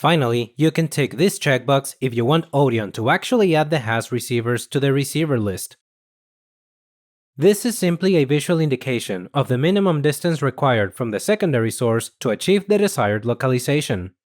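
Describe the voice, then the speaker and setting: A man reading scripted instructional narration in a measured, explanatory manner. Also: mid-range voice, expressive. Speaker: software tutorial narrator.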